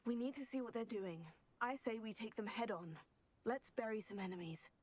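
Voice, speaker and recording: A woman's voice: on the high side, normal speed, lightly acted. An actress playing a bold, determined young student witch in a video game. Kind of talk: video game dialogue acting.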